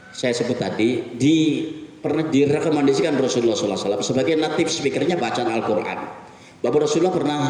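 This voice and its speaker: Man preaching in an echoey mosque. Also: mid-range voice. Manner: conversational, animated, rhetorical questions. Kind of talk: weekly mosque sermon. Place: mosque hall. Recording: echoey mosque hall from far off.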